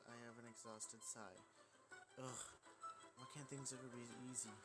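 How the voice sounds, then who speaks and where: A man's voice: mid-range voice, rough recording. A man reading a visual novel aloud as dramatic narration, casual and prone to stumbling over lines. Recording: loud music under the voice. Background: game music.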